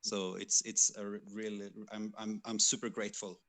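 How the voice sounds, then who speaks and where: Low-voiced man chatting casually, hesitantly. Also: little pitch movement, moderate pace, bright, forceful tone. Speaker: livestream co-host and game developer. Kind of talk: casual chat, recounting past work.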